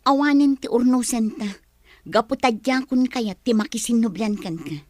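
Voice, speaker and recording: A woman acting a young Filipino woman in a radio drama, firm but wounded. Her voice sits fairly high and sounds dry and close. She delivers her lines with open emotion. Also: moderate pace, darkish tone, dry recording, close to an everyday voice. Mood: upset, rejecting.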